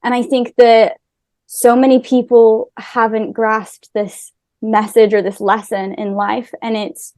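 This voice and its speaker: A woman's voice: a little high, flat delivery, slow pace, darkish tone, no room sound, clean studio sound. A woman with an American accent, raised in America after an early childhood in Wales, talking earnestly as a podcast guest. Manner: earnest, with small restarts. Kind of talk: casual conversation. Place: Israel.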